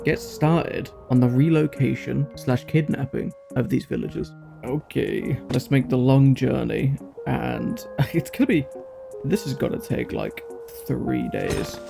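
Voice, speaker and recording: A man's voice: medium pitch, unhurried, vocal fry. A man giving casual, chatty gameplay commentary, thinking aloud in short bursts as he hosts a gaming video. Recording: music playing behind the voice. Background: background music.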